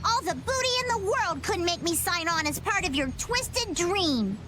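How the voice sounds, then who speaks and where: A woman voicing an excitable, feisty child adventurer, emphatic and indignant, in a video game cutscene. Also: extremely high pitch, moderate pace, over music.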